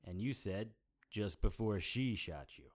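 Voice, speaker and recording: An actor playing a wry, hard-boiled supernatural investigator in an audio drama, with deadpan, sardonic noir delivery. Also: male voice, lowish voice, unhurried, close to an everyday voice. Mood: teasing, dry.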